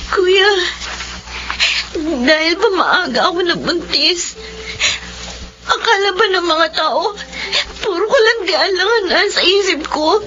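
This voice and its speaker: A woman's voice: very high-pitched, pushed and bright, highs cut off, full character voice. A woman playing a wounded, earnest young single mother and student in an emotional, pleading radio drama. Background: music.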